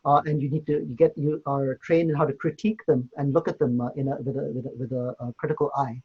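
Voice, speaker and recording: A man's voice: mid-range voice, steady tone, fast talker, breathy edge, phone-quality sound. A middle-aged man, a medical tutor, giving a hesitant open day talk full of fillers. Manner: hesitant, many fillers and restarts. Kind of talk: virtual open day presentation.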